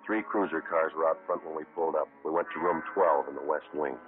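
A man acting a terse, dogged American detective in a vintage radio crime drama, narrating and questioning in a deadpan, matter-of-fact way. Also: a bass voice, fast, music in the background. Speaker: lead actor and narrator of a police radio drama. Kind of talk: 1950s radio acting, clipped procedural narration. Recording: tinny old radio broadcast recording.

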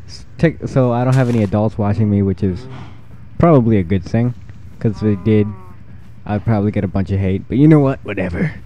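A fourteen-year-old boy with a freshly deepened voice, rambling and self-deprecating while giving casual commentary over his gameplay. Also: brisk, warm tone, muffled recording, slight background noise. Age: 14, teenage boy with newly deepened voice. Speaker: gaming channel host.

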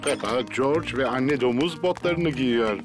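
A man narrating a preschool cartoon as a friendly storyteller, in simple, clear sentences. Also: medium pitch, unhurried, close to an everyday voice. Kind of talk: children's cartoon scripted narration. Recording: prominent music behind the voice.

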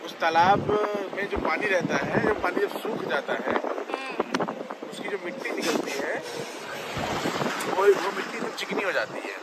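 A man's voice: sits high, moderate pace, long pauses. A man patiently explaining something outdoors on a hillside in casual speech. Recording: loud wind noise on the mic.

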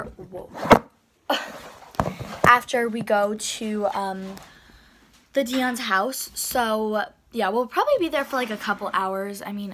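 A teenage girl talks to her phone camera at arm's length in a hotel room, her voice sitting a little high. She rambles in a loose, chatty way, full of "like" and "um" as she goes. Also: fairly bright tone, a little hiss or hum. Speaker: vlogger. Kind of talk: casual travel vlog chat. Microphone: phone camera held at arm's length.

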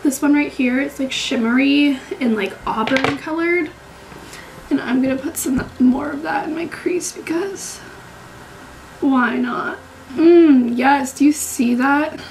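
Twenties woman, high, slightly raspy voice, chatty vlogger, drifts into whispers. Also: steady tone, slow pace, darkish tone, a little hiss or hum, low-quality recording. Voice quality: raspy, throat not clear. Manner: chatty, gushing, drops to whispers while doing makeup. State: recovering from illness, clearing throat. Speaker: beauty vlogger. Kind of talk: casual vlog chat. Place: small bedroom, indoors. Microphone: camera mic, close framing.

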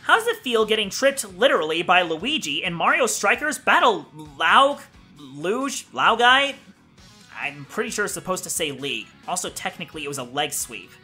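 A man's voice: high-pitched, very animated, moderate pace, pushed and bright, faint music behind, heavily acted. A young man voicing a cheeky, pun-loving host of a cartoon question-and-answer show. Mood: amused, wry.

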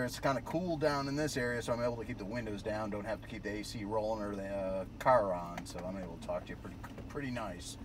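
An American man chatting casually over the radio in a rambling, easygoing way, recorded in a noisy parked car. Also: lowish voice. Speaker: amateur radio operator. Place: inside a parked car at roadside with windows down.

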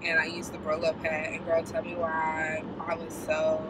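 A woman in her twenties with an American accent and a lowish voice tells stories casually, slipping in quoted asides. She is picked up at a distance inside a moving car, with clear road noise around her. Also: monotone, normal speed. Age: 20s. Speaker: vlogger. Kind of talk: casual chat, storytelling. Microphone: dashboard camera.

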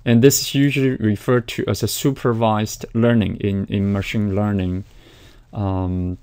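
Hesitant lecturing man, smooth low voice. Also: flat delivery, unhurried, a little mellow. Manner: hesitant, many fillers and restarts. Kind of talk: recorded slide lecture.

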